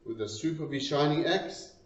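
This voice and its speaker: Distant, echoing man reading a story expressively. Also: fairly high pitch. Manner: expressive, deliberate, pausing between short phrases. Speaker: reader of a poem. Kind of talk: dramatic storytelling recitation. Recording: roomy, distant, dull lo-fi sound.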